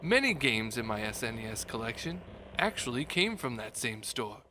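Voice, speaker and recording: A man's voice: mid-range voice, big pitch swings, moderate pace, pushed and bright, slight background noise. A man giving a dramatic, mock-grand reading of a scripted game review. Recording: music behind the voice. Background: background music.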